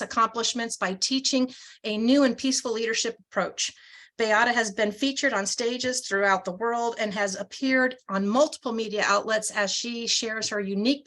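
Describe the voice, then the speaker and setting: A woman's voice: a little high, fairly bright tone. A woman hosting an online group, introducing her guest from a bio and stumbling over the words with many ums.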